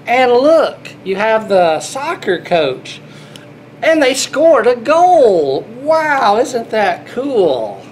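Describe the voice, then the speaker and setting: A man's voice: extremely high pitch, big pitch swings, drawn-out words, a little hiss or hum. A middle-aged man reading a children's picture book aloud, playful and exclaiming, talking as if to small children. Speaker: grandfather reading to children, air traffic controller. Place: small indoor airport control room.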